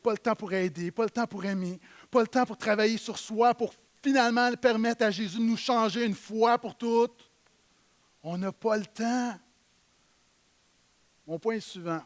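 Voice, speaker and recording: A man with a Québécois French accent preaching a sermon in church, emphatic, swinging between loud stresses and quiet low lines. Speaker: pastor.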